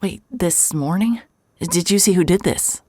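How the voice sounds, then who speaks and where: A woman's voice: on the low side, a little mellow, slightly rough. An actress playing a wry, guarded female private investigator in an audio drama, both narrating and in dialogue. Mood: wary, insistent. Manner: wry, descriptive, halting when cornered. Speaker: narrator and lead character.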